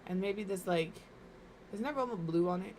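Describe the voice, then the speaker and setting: A woman from Minnesota chats casually while she streams from home. Her mid-pitched voice is slightly hoarse and a little crackly. She rambles and makes fun of herself, and a faint background noise hums behind her. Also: moderate pace, darkish tone. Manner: rambling, chatty, self-deprecating. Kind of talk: casual chat while gaming.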